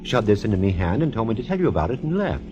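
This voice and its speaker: A man's voice: fairly low pitch, very animated, racing. Arch, fussy, wildly swooping male sidekick. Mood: fussy, puzzled. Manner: affected, dryly witty, theatrical. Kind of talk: 1940s radio comedy-mystery acting. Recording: dull vintage radio recording, loud music.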